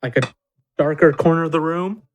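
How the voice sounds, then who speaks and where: A man's voice: on the high side, steady tone, a little fast. A man playing a tabletop role-playing game, chatting casually and joking in character.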